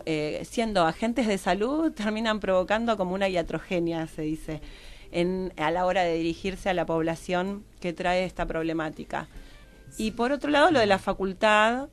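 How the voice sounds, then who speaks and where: A woman with an Argentine accent explaining as a radio interview guest, searching for her phrasing and often checking with tag questions. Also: mid-range voice. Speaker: interview guest, psychologist and university teacher.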